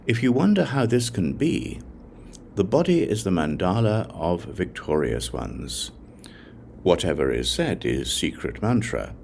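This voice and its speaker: A man with a low voice and a gravelly edge narrates a scriptural audiobook. His delivery is solemn and deliberate, set out in measured phrases, with wide swings of intonation from one phrase to the next. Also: sing-song, a little mellow, a little hiss or hum.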